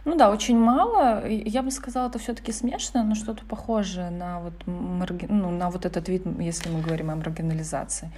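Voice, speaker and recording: A woman interviewer with a low, warm voice asks her guest questions. She sounds curious and thinks aloud while shaping each one. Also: fairly quick, hardly any pauses. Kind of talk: conversational questions.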